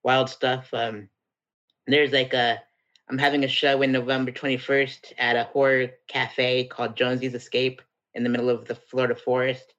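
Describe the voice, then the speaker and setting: A man guesting on a casual podcast, speaking calmly and haltingly, often saying "indeed". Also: medium pitch, dull audio. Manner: calm, halting, says "indeed". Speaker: guest comedian. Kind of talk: casual chat.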